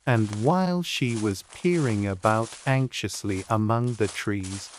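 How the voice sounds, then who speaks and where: An audiobook narrator reading a storybook in a measured, unhurried and gently expressive way. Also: medium pitch, slight background noise.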